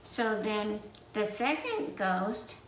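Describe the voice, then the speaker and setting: A woman telling a children's story from memory in a halting, meandering way, pausing to put questions to her listeners. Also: mid-range voice, sing-song. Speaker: library storyteller. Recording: roomy, distant mic with faint noise.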